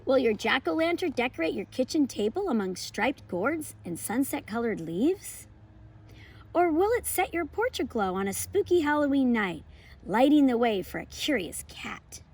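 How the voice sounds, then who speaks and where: An American woman hosting a homeschool lesson and children's read-aloud, with expressive, emphatic storybook phrasing. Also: sits high, normal speed, husky. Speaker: homeschool educator and channel host.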